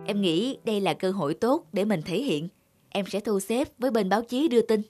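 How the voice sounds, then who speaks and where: A woman's voice: mid-range voice, brisk, loud music behind the voice, lightly acted. A woman voicing a practical, scheming young female assistant in a cartoon, deferential in manner. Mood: businesslike. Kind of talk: cartoon dub acting.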